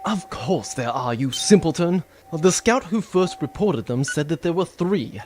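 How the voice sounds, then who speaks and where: A man's voice: fairly high pitch, expressive, a little slow, a little mellow, soft background music. Man playing a smug, pompous villain baron. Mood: menacing, scornful. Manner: pompous, mockingly polite. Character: smug, scheming male villain baron, condescending and menacing. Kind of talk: audio adventure drama acting.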